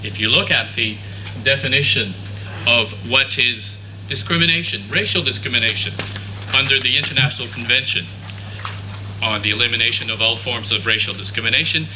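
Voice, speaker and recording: A man whose first language is French gives a formal academic talk at a university conference, measured and with some restarts. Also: medium pitch. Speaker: conference speaker. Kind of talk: academic lecture. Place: university lecture hall. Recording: noisy conference room recording.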